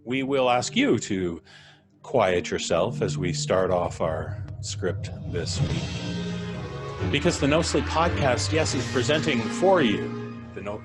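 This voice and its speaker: A man hosting a casual live podcast in a chatty, joking way. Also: mid-range voice. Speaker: podcast host. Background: clear music.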